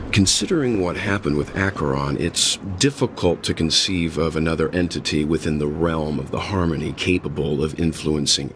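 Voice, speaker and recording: A man playing a composed, courteous, analytical gentleman in a video game, speaking formally and deliberately. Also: low voice, noisy background. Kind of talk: video game cutscene acting.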